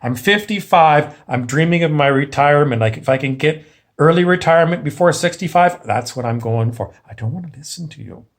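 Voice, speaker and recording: A man's voice: on the high side, a little fast, natural voice. A man acting out a tired, dismissive middle-aged worker with a curt know-it-all manner, in an informal monologue.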